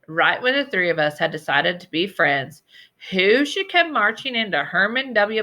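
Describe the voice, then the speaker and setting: A woman reading a children's novel aloud as an expressive storybook narrator. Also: on the low side. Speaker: story reader.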